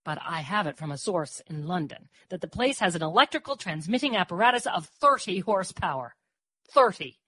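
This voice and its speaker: A roguish, boozy newspaperman played by a woman narrator holds forth in a storybook reading. The delivery is grandiose and wheedling, with teasing pauses and big swings in pitch, captured close and dry. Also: very animated, fairly bright tone, slightly rough, dry recording, full character voice. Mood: conspiratorial, excited. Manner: theatrical, florid speech with teasing pauses. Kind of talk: audiobook character voice.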